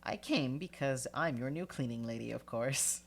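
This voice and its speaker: A man's voice: medium pitch, moderate pace, vocal fry, voice pushed up. A man with a congested voice voicing a prim, firm old woman who insists on being the new cleaner. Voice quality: congested, sniffly.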